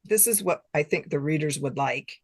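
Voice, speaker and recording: A woman's voice: low voice, moderate pace, no room sound, studio quality. A middle-aged woman chatting casually as an interview guest, with a self-deprecating manner. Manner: self-deprecating, chuckles between remarks. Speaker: guest author.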